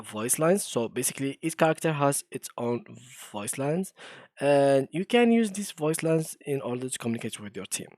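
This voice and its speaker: A man's voice: medium pitch, a little mellow, no echo, clean studio sound. A man hosting a screen-recorded game tutorial, talking casually with frequent fillers and restarts. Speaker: tutorial host.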